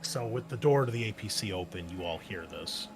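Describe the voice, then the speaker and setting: A man's voice: lowish voice, lively intonation, brisk, slight background noise. A man running a tabletop game as game master, narrating casually with many fillers.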